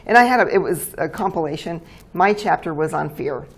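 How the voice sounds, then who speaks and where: An older woman from New Mexico, a guest in a casual interview, chatty and halting, laughing easily. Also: low-pitched, lively intonation, breathy edge.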